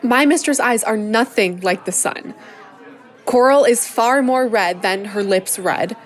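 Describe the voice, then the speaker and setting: A woman's voice: on the high side, unhurried, a faint crowd, close to an everyday voice. A woman performing a Shakespeare sonnet as the monologue of a frank, wry lover. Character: frank, wry lover describing a plain mistress. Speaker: student drama performer.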